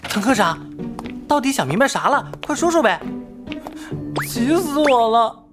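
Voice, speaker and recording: An eager, cheeky junior investigator in an animated crime series. The voice is fairly high and very animated in pitch, playful and impatient, with casual colloquial phrasing. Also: female voice, a little slow, music in the background. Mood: curious, impatient. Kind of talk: animated crime drama dialogue.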